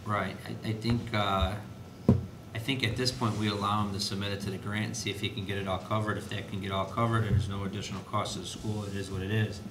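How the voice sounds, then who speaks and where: Man, administrator at a public meeting, low-pitched, halting delivery, noisy roomy recording. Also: little pitch movement. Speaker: school district administrator reporting to board. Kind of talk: school board meeting discussion. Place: indoor board meeting room. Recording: noisy, echoey meeting room with low rumble.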